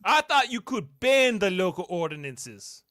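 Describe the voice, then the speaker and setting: Man acting a high-voiced, animated starship captain. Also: a little slow, no room sound, studio quality, exaggerated voice. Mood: probing, wry. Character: curious, self-assured starship captain among frontier settlers. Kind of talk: amateur radio play acting.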